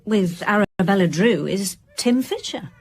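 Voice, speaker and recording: Female police inspector, British, brisk and probing, very varied pitch, radio drama. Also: animated, darkish tone. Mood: puzzled, insistent. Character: brisk woman police chief inspector on a case. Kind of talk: naturalistic radio drama acting.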